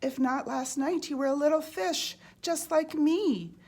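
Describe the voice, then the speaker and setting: A woman's voice: high-pitched, measured pace, a little mellow, pitched above the performer's own voice. A woman voicing a naive, curious and impatient young male fish in a children's storybook, in an eager way. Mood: indignant. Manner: eager, questioning. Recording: clearly noisy background.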